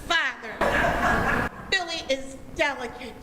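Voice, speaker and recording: A woman's voice: high voice, slow pace, sharp tone, full character voice. A woman acting a dreamy, melodramatic seventeen-year-old daughter in a 1940s-style radio comedy. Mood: blithe. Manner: gushing, dramatic, petulant. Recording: reverberant stage, faint noise.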